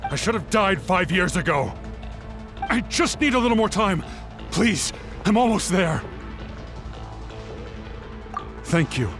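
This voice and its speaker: A man's voice: sits high, very animated, moderate pace, music in the background. A man voicing a grief-driven, determined warrior in a video game, speaking with earnest, solemn intensity. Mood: urgent, straining. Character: grief-driven, determined male warrior searching for a lost comrade.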